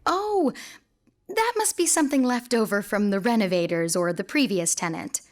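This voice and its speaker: A woman's voice: fairly high pitch, animated, moderate pace, natural voice. A woman playing a reassuring realtor showing an apartment in an audio drama. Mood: breezy, reassuring.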